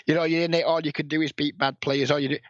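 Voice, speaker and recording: A man with a northern English accent and a raspy voice, a blunt, heavily swearing guest in a casual podcast interview who sniffs and coughs. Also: on the high side, little pitch movement, quick pace, dry recording. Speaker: poker player and coach, guest. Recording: dull lo-fi, dry sound.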